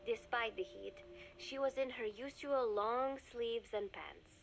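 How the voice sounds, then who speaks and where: An actress voicing an earnest young woman reading her diary aloud in a video game, calm and reflective. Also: on the high side, a little slow, vocal fry, a little hiss or hum, poor audio. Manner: calm, reflective, softly spoken. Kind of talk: game audio diary narration.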